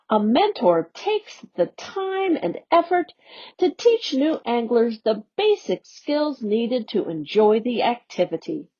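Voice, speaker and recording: Lively slow-reading woman, lo-fi sound. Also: on the high side, slightly rough. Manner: slow, expressive storybook reading. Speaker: staff educator reading a newsletter aloud. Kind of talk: read-aloud for young learners. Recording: dull lo-fi audio.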